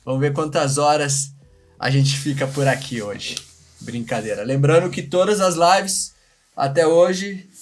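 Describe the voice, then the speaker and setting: Male Brazilian livestream host, medium pitch, informal, slangy and repetitive in casual conversation. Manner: informal, slangy, repeats himself. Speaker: live show host. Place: remote video live stream from separate location.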